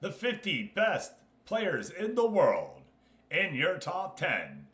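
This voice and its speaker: A man's voice: on the high side, very animated, slow, a bit bright. A man hosting a gaming livestream, chatting informally and commenting as he talks straight to his group. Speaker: livestream host running an online football management game league. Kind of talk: casual gaming stream commentary. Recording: echoey, roomy sound.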